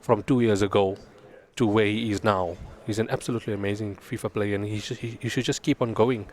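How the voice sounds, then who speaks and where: A man on a panel giving his excited view of a player, stumbling over his words at times. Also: lowish voice, brisk. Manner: enthusiastic, repeats words. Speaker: panel analyst. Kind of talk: post-match opinion.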